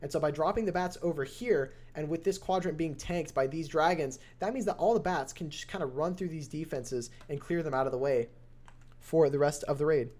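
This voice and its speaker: A young man with a voice going hoarse, calling a mobile strategy game match on his live stream. His play-by-play is excitable and builds to near shouts at close finishes. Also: on the high side, fairly quick. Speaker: esports caster and streamer.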